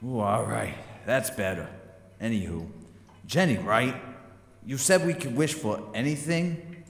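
Guy doing a gruff impatient heist boss, short temper, audio play, lots of room echo. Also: mid-range voice, lively intonation, unhurried. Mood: smug. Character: bossy, short-tempered New York crook and heist schemer. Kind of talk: audio theater acting. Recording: echoey reverberant sound.

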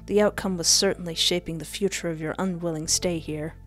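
A woman's voice: fairly low pitch, fairly even intonation, a little fast, fairly bright tone. Playful young woman streaming a horror game. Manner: playful, cheeky, sassy. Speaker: streamer, narrator. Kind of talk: let's play, reading visual novel aloud with playful reactions. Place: indoors at a desk. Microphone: headset and close desk microphone. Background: faint game music.

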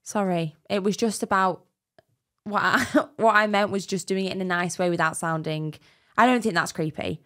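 A woman's voice: mid-range voice, normal speed, a bit bright. A woman in her thirties co-hosting a podcast in a small studio, chatting loosely and trailing off mid-thought. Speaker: podcast co-host. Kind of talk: casual chat. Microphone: studio mic on arm, headphones.

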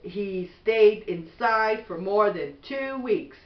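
A middle-aged woman reads a bedtime storybook at an unhurried pace. She is some distance from the camera mic in an echoey room. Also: mid-range voice, lively intonation, lo-fi sound. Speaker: mother reading a bedtime story. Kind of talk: slow storybook read-aloud. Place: small bedroom at home, indoors. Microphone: camera a few feet away.

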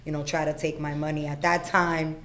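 A middle-aged woman from Puerto Rico speaks in a low voice, telling her own story plainly and directly. Her words carry a little room echo, and a faint hum of background noise sits underneath. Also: flat delivery, brisk, fairly bright tone. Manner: frank, plainspoken, many ums and you-knows. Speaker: podcast guest. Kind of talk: candid life story in conversation. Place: small studio room with acoustic panels. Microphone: desk boom mic.

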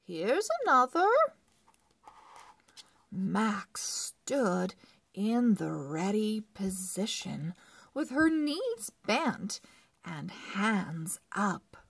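A woman narrating a children's read-along book in a deliberate, expressive way, phrase by phrase. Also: mid-range voice, creaky, slightly rough, dry recording. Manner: deliberate, word-by-word phrasing with animated emphasis. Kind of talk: slow expressive read-aloud for early readers.

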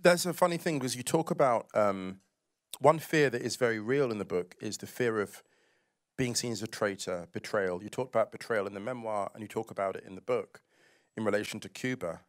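A man's voice: on the low side, brisk, no echo. Curious male panel interviewer, dry mic. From United Kingdom. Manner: curious, probing questions. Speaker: writer and moderator. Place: outdoor festival stage among trees. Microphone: handheld microphone.

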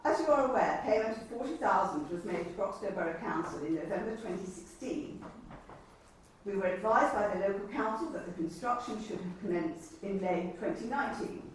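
An English woman speaking formally and deliberately as a councillor at a meeting, often reading from documents. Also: medium pitch, animated. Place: indoor council meeting room. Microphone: distant camera microphone. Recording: very echoey room, distant mic, faint noise.

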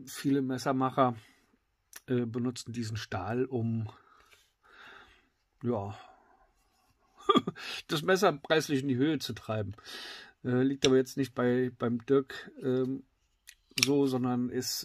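A middle-aged man with a slightly congested voice, reviewing a knife in a casual, filler-heavy way that often drops to excited whispers. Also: medium pitch, takes it slowly, long pauses, no room sound. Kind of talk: casual tabletop product review. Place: indoors at a wooden table.

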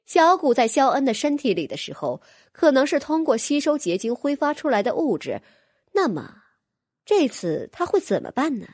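A woman's voice: fairly high pitch, husky, dry recording, studio quality. A woman reading scripted fantasy fiction expressively as an audiobook narrator.

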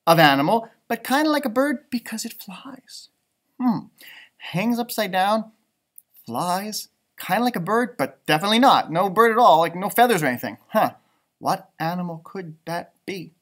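Male storyteller for young children, thirties, high-pitched for a man, lively swooping intonation, playful asides. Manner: expressive, playful, asks listeners questions. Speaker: elementary school storybook reader. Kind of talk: animated children's read-aloud with asides. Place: indoors, school library.

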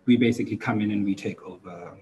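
Man, twenties, lowish mellow voice, casual podcast talk, faint noise. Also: fast talker. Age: 20s. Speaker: podcast co-host. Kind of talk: casual conversational discussion. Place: indoor room, seated. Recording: slightly dull sound, faint background noise.

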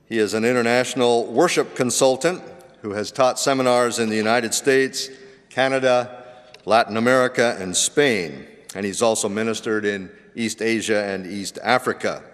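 A man giving a measured, formal introduction of a guest speaker at a seminary chapel. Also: medium pitch. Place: large seminary chapel auditorium. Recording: long hall reverb.

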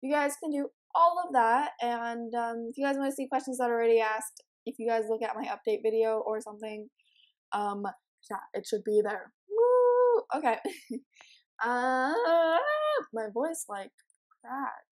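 A teenage girl with a raspy morning voice, chatting casually and giggling while commentating a life-sim game. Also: on the high side, normal speed. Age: teens. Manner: chatty, giggly, rambling with many ums. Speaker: let's play host.

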